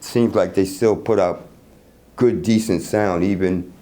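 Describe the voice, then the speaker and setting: A middle-aged man casually explaining his product in an interview, halting and repeating words as he goes. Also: low-pitched, mellow. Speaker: loudspeaker creator being interviewed. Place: hotel room at an audio show. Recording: noisy room ambience.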